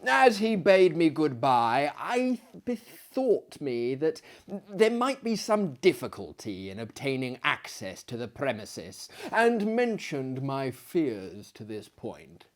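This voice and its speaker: A man in his thirties reading a literary tale aloud in a theatrical, gentlemanly manner, with dramatic pauses and chatty asides. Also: medium pitch, sing-song. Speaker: storyteller, host. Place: small book-lined living room, indoors.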